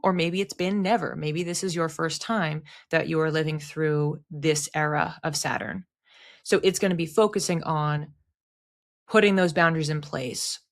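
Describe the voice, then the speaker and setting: A woman giving an explanatory solo talk to viewers in a conversational manner, restating words for emphasis. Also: on the low side. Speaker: astrology content creator and host.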